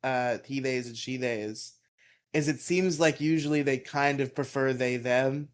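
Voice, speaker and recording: A man with a fairly high voice, co-hosting a podcast in casual chat, laughing often, on a headset mic. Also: measured pace. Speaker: podcast co-host.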